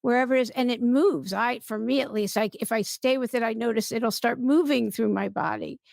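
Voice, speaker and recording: A woman hosting a podcast, chatting casually and informally in a halting, conversational way. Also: a little high, gravelly, darkish tone, dry recording, studio quality. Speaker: podcast host.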